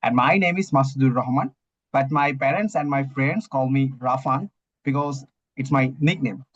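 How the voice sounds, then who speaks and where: A man's voice: mid-range voice, normal speed, breathy edge, no room sound, muffled recording. A young man from Bangladesh with a Bangladeshi accent, a student talking as a guest in a casual English practice chat, hesitant and full of "you know that" and "uh". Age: young adult.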